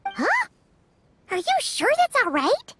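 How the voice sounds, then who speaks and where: A woman voicing a tiny, excitable, childlike female companion with a squeaky voice in an anime video game. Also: takes it slowly, noisy background, exaggerated voice. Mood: delighted, eager. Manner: exclamatory, refers to herself by name.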